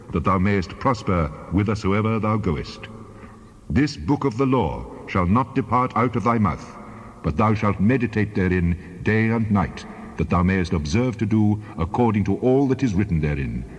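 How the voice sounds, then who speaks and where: An actor voicing God as a commanding, reassuring presence, solemn and measured in a dramatized scripture reading. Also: male voice, fairly low pitch. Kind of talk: dramatized audio Bible. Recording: long reverberant hall.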